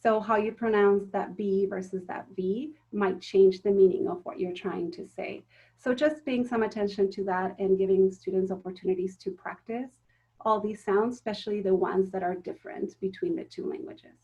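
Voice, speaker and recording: A woman's voice: mid-range voice, fairly even intonation, normal speed, warm tone, dull audio. A woman giving a recorded talk to teachers, explaining step by step with frequent ums and self-corrections. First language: Spanish. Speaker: teacher trainer.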